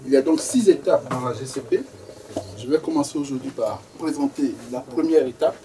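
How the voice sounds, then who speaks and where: Distant man leading a group session. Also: medium pitch. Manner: measured, repeats phrases for emphasis. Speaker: networking session facilitator. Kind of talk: informal presentation to a group. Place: meeting room in a bookshop. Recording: distant mic, faint room noise.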